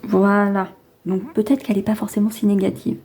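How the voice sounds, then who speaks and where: A woman's voice: medium pitch, flat delivery, normal speed, a little mellow, breathy edge. A woman with a head cold chatting casually to viewers as she reads tarot cards, hesitant and rambling as she thinks aloud. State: has a lingering head cold. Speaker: tarot card reader hosting a reading.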